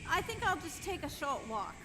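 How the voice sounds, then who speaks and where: An actor playing an earnest, homesick human space traveller in a live radio play. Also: male voice, extremely high pitch, sing-song, moderate pace, over music. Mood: wistful. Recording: noisy, reverberant hall.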